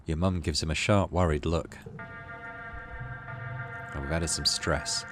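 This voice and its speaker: A man's voice: very deep voice, steady tone, a little slow, a bit bright, soft background music. A man hosting a gaming video, reading story text aloud expressively and adding casual, musing commentary.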